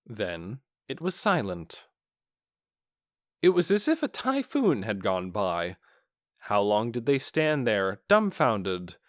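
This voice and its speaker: A man's voice: lowish voice, sing-song, takes it slowly, dry recording, clean studio sound. A man reading scripted fantasy fiction as a dramatic audiobook narrator.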